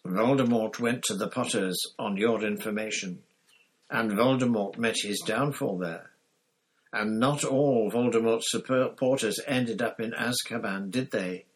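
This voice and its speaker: A bitter, vengeful man, as a reader puts him on in a storybook, hissing venomous accusations. The voice sits a little raised and pushed louder than the narration, sharp with contempt. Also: lowish voice, measured pace, husky, voice pushed up, poor audio. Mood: accusing. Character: bitter, furious escaped prisoner, grown man. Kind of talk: audiobook character reading.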